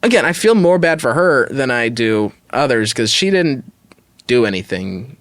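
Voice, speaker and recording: A man's voice: mid-range voice, sing-song, normal speed, a bit bright, no room sound, studio quality. A man hosting a podcast, talking casually and giving opinions as he thinks aloud and qualifies his judgments. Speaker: podcast host. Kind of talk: casual opinionated discussion of online stories.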